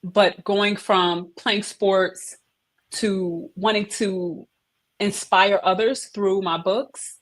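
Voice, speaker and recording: A middle-aged woman, a guest on a video-call interview, telling her career story in a lively, emphatic way. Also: medium pitch, drawn-out words. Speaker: head of operations, interview guest. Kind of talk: casual interview conversation.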